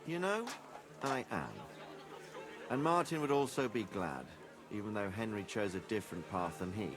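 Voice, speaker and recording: A man playing an expressive, dignified medieval lord. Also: fairly low pitch, a crowd in the background, lightly acted. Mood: proud. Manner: measured, formal, diplomatic. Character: dignified older medieval lord, measured and fatherly. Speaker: video game character. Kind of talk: cinematic video game dialogue.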